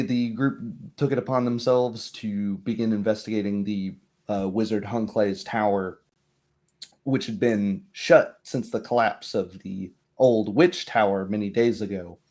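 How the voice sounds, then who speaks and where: A man narrating and recapping a tabletop roleplay as game master, often pausing to search for words. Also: lowish voice, fairly even intonation, a little mellow.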